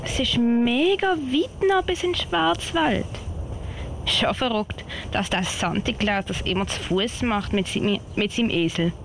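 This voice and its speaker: A woman's voice: on the high side, unhurried, gravelly. A woman playing a young, earnest girl scout in an amateur radio play, chatting with a Swiss German accent. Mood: weary, musing. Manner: chatty, thinking aloud. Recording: slightly noisy amateur recording.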